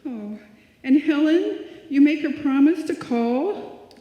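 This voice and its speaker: A woman plays a timid, easily scared lady who hesitates and pleads. Her voice is very high and slow, in old radio-play manner. The recording is muffled and echoes around a hall. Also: expressive. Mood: anxious, pleading. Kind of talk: old-time radio suspense acting, performed live. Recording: echoey hall, dull lo-fi sound.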